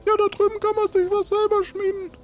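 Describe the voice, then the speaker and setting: A man voicing a brisk, inquisitive little shopkeeper in a squeaky falsetto for an improvised audio adventure. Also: level tone. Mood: inquisitive, bossy.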